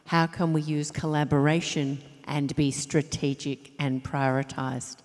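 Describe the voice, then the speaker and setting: A woman's voice: deep voice, little pitch movement, unhurried, a little mellow. A woman in the audience asking a probing question of the panel and insisting on its point. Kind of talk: question from the floor. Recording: reverberant hall.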